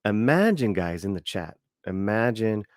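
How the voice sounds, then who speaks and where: A man's voice: fairly low pitch, big pitch swings, measured pace, dry recording, clean studio sound. A man in a casual chat who rambles emphatically, restarting his sentences often.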